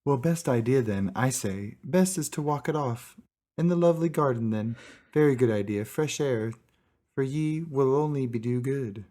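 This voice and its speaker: Old lady gnome for comic storytelling, acted by a man: hospitable, chattering, low and mellow. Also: moderate pace, close to an everyday voice. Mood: fussy. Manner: doting, chattering, motherly.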